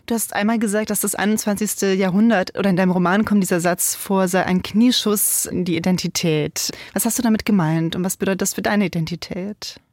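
A woman interviewer asking measured, probing questions on a close mic in a dry room. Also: mid-range voice, steady tone, a little mellow, dry recording.

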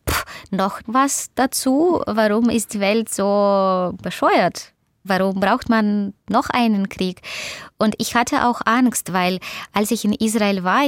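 Ukrainian woman, russian is her first language, slow thoughtful interview talk, dry close sound. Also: mid-range voice, dry recording. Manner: reflective, searching for words. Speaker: interview guest, author and doctor. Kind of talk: conversational interview answers.

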